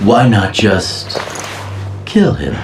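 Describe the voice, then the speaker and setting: A man's voice: on the low side, big pitch swings, drawn-out words, full character voice. A man acting a suave, scheming storyteller in a video game, with a florid, persuasive manner. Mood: sly, provoking. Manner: florid, theatrical, rhetorical. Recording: roomy, distant, loud music behind. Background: loud music.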